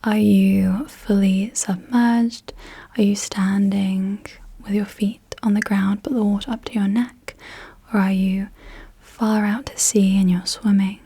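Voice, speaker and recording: A young woman whispering softly and unvoiced as an ASMR host, gently asking slow questions in a guided visualisation, close to the mic. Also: mid-range voice, level tone, husky. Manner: soft unvoiced whisper throughout. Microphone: close sensitive mic.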